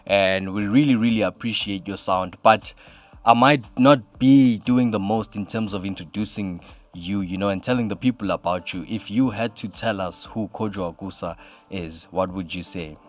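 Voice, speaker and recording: A man's voice: fairly low pitch, normal speed, faint music behind. A man hosting a radio show and interviewing a guest, friendly and complimentary, leaning on "you know" a lot.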